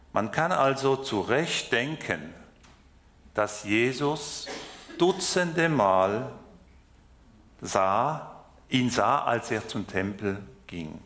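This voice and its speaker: A man from France who grew up speaking French, with a Swiss German accent, preaching a sermon in a slow, hesitant way with fillers and restarts. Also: mid-range voice, fairly bright tone, a little hiss or hum. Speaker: lay preacher.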